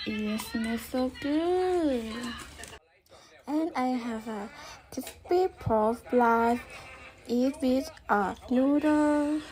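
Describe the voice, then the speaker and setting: A woman speaking simple, halting in a casual food vlog. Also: sits high, a little mellow, rough recording. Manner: simple, halting non-native English. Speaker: food vlogger.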